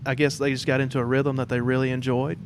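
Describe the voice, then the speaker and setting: A man's voice: medium pitch, level tone, quick pace, background noise. A man hosting a podcast in a casual, conversational interview style. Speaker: podcast host.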